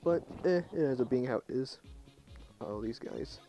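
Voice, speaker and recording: A young man with a low voice, talking quietly and casually to his chat at night while he plays a game. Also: animated, normal speed. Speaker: streamer. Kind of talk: casual live game commentary. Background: faint game music.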